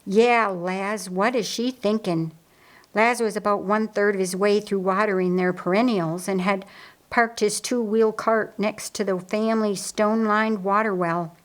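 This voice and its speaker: A woman reading historical fiction as an audiobook narrator, in a deliberate, dramatic storytelling manner. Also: mid-range voice, steady tone, low-quality recording. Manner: slow, deliberate, dramatic reading with acted character voices.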